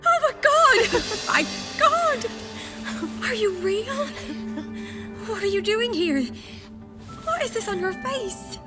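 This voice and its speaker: An actress playing a hot-tempered, foul-mouthed pirate prisoner with an Irish accent in an audio drama. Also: female voice, very high-pitched, slow, pauses often, over loud music, exaggerated voice. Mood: overjoyed. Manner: blunt, sweary, excitable.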